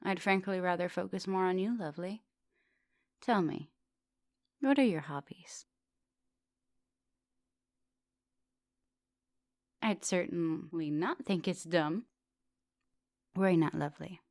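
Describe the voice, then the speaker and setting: A woman acting as an ancient female vampire professor in an intimate ASMR roleplay. Her voice is very low with a gravelly fry, recorded close and dry. She is composed and formal in her wording, yet teasing and gently commanding, slipping at times toward a whisper. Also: a little slow, pauses often, dry recording. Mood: affectionate, curious. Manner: teasing, formal wording, soft dominant, often dropping into whispers.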